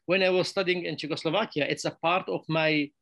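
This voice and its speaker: Middle-aged director, slightly high voice, dry close sound, halting and repetitive. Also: male voice, steady tone, creaky, fairly bright tone, dry recording. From Syria. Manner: halting, many restarts and repeated words. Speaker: film director. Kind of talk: festival Q&A on a video call. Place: bright room with plants. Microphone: video call.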